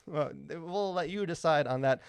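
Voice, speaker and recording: A man, probably in his thirties, co-hosting a podcast with casual, rambling, halting talk full of filler words. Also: a little high, clear, resonant tone, dry recording. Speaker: podcast co-host.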